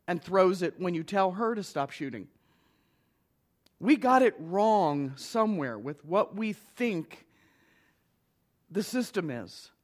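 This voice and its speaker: A middle-aged minister giving a conversational lecture to an audience, with wry asides. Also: medium pitch.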